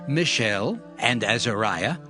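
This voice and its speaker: A man's voice: medium pitch, lively intonation, takes it slowly, pushed and bright. A man narrating scripture for an audio drama in a solemn, declamatory manner, with music underneath. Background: music bed.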